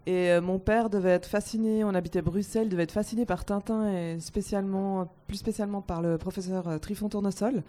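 A woman on a panel telling a story from her childhood in a lively way, repeating words as she goes. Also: medium pitch, a little fast, hardly any pauses. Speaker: panel guest. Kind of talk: personal anecdote.

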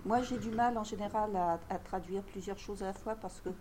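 Brief: female translator, warm mellow tone, casual and hesitant, faint noise behind. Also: medium pitch, a little fast. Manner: hesitant, fillers, casual wording. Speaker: literary translator on a panel. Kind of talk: festival panel discussion.

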